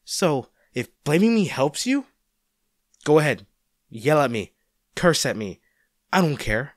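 A man's voice: fairly high pitch, sing-song, a little slow, no room sound, studio quality. A man acting a caring, worried boyfriend in an intimate audio roleplay, speaking tenderly and coaxingly to his injured partner. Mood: hurt, frustrated. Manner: tender, coaxing, hesitant, speaking directly to the listener.

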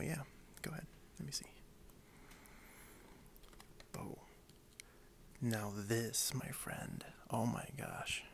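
Half-whispering man, very creaky, slow ASMR. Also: medium pitch. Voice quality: soft, breathy half-whisper. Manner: hushed, unhurried, friendly, hesitant phrasing. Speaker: ASMR creator role-playing a toy shop owner. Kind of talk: soft-spoken ASMR role play. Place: indoors at a wooden desk.

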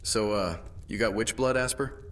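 A plain-spoken young Roman officer, acted by a man in a fantasy audio drama. His lowish voice sits back from the mic in an echoey space, under loud music. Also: normal speed, recorded from a distance, a little hiss or hum, natural voice. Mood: wry.

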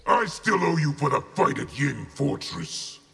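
An actor playing a belligerent villain warlord in a video game, with a harsh gravelly voice and scornful delivery. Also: male voice, fairly high pitch, very animated, measured pace, exaggerated voice. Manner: scornful, forceful. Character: contemptuous, belligerent male villain warlord general. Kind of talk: video game character acting.

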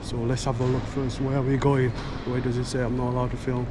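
Man vlogging over loud background noise. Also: medium pitch, monotone. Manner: halting, thinking aloud. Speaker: travel vlogger. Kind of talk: casual vlog commentary. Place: railway station hall and inside a train carriage. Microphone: action camera at arm's length. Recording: loud ambient station and train noise.

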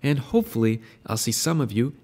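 A young adult man hosting a screen-recorded software tutorial, explaining features in a friendly, step-by-step way. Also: medium pitch, sing-song, brisk, heavy background noise. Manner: friendly, explanatory, recommends affiliate link. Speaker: tutorial host.

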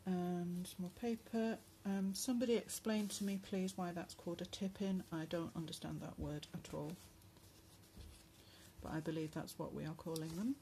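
A woman talks casually while showing a handmade journal. She speaks softly, with many pauses and fillers, and her voice is going hoarse. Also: on the low side, steady tone, a little hiss or hum. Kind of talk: casual craft flip-through narration. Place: indoors at a table at home. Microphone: phone camera above the table.

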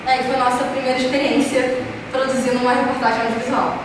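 A woman's voice: high voice, little pitch movement, quick pace. A young woman, presenting as a student in a classroom. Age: young adult. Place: classroom, indoors. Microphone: distant camera microphone. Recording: echoey room from far off, noisy.

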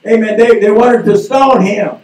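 A man preaching a sermon from a church pulpit, slowly working through his points and dropping "amen" after almost every phrase. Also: high voice, darkish tone. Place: small church sanctuary, indoors. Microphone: pulpit mic, filmed by a far camera. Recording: echoey room from far off.

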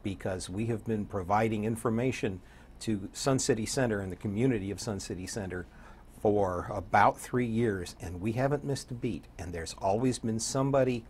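An older man around seventy, a radio DJ chatting as an interview guest in a folksy, wry way with a husky voice. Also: lowish voice, moderate pace, darkish tone, slight background noise. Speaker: guest, radio program director and DJ. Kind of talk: casual interview chat. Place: TV studio set, seated.

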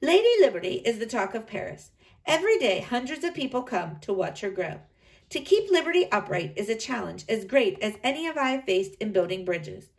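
A woman voicing a confident, inventive male engineer in a read-aloud storybook, in a calm, measured reading. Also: on the high side, far from the mic.

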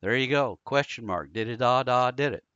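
A man's voice: mid-range voice, moderate pace, fairly bright tone, clear, resonant tone, dry recording, dull audio. An American man giving a casual screen-recorded tutorial, explaining informally step by step. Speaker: amateur radio instructor.

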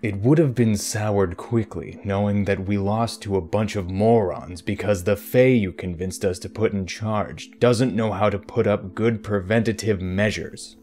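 A man plays an arrogant fae villain in an audio drama. His voice is deep, with a scornful, condescending delivery, and music sits faintly behind it. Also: fairly even intonation, unhurried, hardly any pauses, a little mellow, lightly acted. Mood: contemptuous. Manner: condescending, sardonic. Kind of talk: audio roleplay drama acting.

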